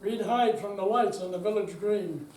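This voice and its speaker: A man's voice: sits high, moderate pace, dark tone. An older man giving a dramatic reading as an amateur stage performer in a senior center room. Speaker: amateur readers theater performer. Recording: distant, echoey room with faint noise.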